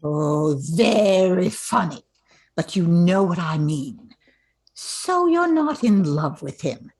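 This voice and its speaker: An older woman playing a genteel, meddling society mother in a radio comedy play, coaxing with theatrical, drawn-out phrases. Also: fairly low pitch, very animated, a little mellow, breathy edge, full character voice. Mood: coaxing, insistent.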